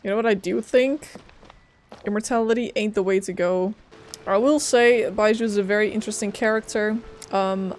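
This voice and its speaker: A woman's voice: mid-range voice, a little mellow, a little hiss or hum. A woman streaming a video game, giving casual, informal reactions and commentary as she plays. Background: faint game music.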